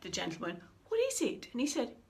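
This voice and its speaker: A middle-aged woman, a communication trainer, giving an instructional talk and telling a story with lively, acted-out quotes. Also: fairly high pitch, fairly quick. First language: English. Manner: animated storytelling, acting out quoted speech.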